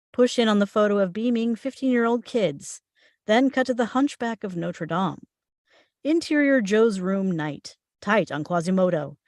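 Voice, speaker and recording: A woman's voice: mid-range voice, no room sound. Woman narrating a script, dry close sound. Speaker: stage direction reader. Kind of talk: scripted narration at a table read.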